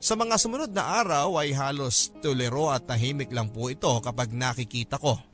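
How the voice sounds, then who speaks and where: A man's voice: mid-range voice, fairly quick, a bit bright. A man narrating a scripted first-person story as a radio host, polite and conversational. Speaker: radio drama host reading a letter. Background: background music.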